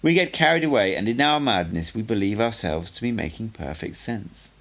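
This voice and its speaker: A playful old sorcerer, put on by a man reading aloud, lectures his student with patient relish. His voice is low and gravelly, and it swings up and down with animated emphasis. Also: brisk. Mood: lecturing. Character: old male sorcerer teacher, playful, rhetorical. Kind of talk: audiobook character dialogue.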